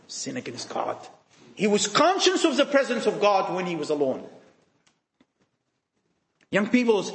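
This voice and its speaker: A male preacher with a high voice that swings widely in pitch as he drives his points home. He fires rhetorical questions at the young people in front of him, repeating phrases and breaking off mid-sentence to start again. His voice rings around an echoey church. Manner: emphatic, full of rhetorical questions.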